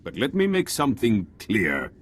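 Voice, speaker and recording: A haughty nobleman from a video game. His voice is fairly low and a little husky, rising and falling expressively through pompous, formal phrases. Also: huge pitch swings, normal speed. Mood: indignant, stern. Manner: pompous, formal, ornate wording. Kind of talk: video game cutscene acting.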